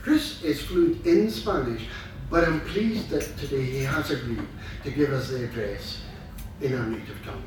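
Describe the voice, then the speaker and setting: An older man giving a formal introduction of a speaker, measured, courteous and slightly halting. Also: medium pitch, lively intonation. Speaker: club president introducing a speaker. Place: large room at a club event. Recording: very echoey room, distant mic, noisy.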